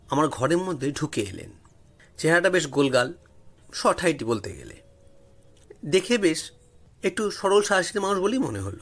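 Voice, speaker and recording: A man's voice: a little high, fairly bright tone. A man narrating a first-person story as a storyteller in a dramatic style. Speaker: storyteller, channel host.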